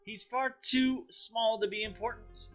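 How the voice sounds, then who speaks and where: A man's voice: high voice, fairly even intonation, unhurried, sharp tone, soft background music, pitched above the performer's own voice. A man voicing an eager, doubting, bossy young child in a dramatized children's read-aloud. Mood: dismissive.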